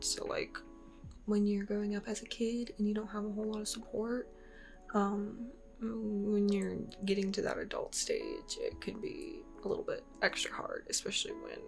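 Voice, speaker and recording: A woman's voice: mid-range voice, vocal fry. A woman in her twenties talking casually and openly to her channel, rambling with lots of fillers. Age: 20s. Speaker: YouTuber. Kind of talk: casual personal vlog.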